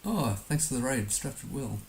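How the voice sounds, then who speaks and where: A hoarse man musing hesitantly aloud as he gives casual live commentary on a game he is streaming. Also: medium pitch, sing-song, normal speed, darkish tone. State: hoarse, recovering from being under the weather. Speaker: livestream host playing a geography guessing game. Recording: noticeable background hiss.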